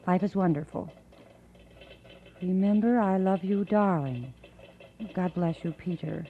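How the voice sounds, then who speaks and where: An actress playing a matter-of-fact woman clerk at a telegraph office in an old-time radio drama, brisk and casual. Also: lowish voice. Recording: dull vintage radio drama recording.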